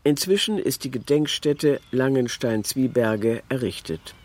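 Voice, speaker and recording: A man's voice: mid-range voice, expressive, measured pace, darkish tone. A man reading a scripted literary documentary narration.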